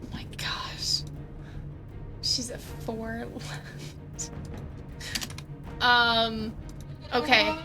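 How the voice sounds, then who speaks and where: A woman's voice: on the high side, monotone, extremely slow, long pauses, whispered. A woman playing a tabletop game, chatting casually and thinking aloud. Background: clearly audible background music.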